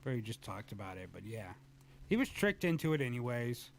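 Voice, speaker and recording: A man's voice: mid-range voice, brisk, crowd noise. A young man hosting a talk radio show, joking and bantering casually into a studio mic. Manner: joking, animated, sometimes puts on silly voices.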